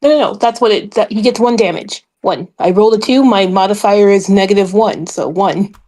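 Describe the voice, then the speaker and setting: A woman's voice: medium pitch, a little fast, darkish tone. A woman chatting casually as a player in a tabletop game.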